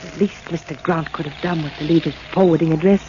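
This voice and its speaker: A woman's voice: sits low, steady tone, fast talker, close to an everyday voice. A woman playing a wry, plucky lady sleuth's companion in a 1940s radio drama. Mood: wry bravado. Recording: muffled, hissy old radio recording.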